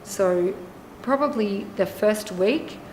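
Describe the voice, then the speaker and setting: A woman's voice: mid-range voice, takes it slowly, darkish tone, a little hiss or hum. A woman with an Australian accent, a hospital volunteer speaking reflectively in an interview. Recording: echoey room, music underneath.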